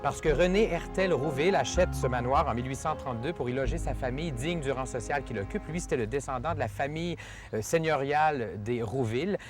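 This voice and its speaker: A man with a Québécois accent hosts the show and talks quickly in a chatty, upbeat way. His pitch sits in the middle of the range. Music plays underneath his voice. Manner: chatty and enthusiastic, joking about himself. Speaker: TV show host. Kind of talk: lively presenting and interviewing.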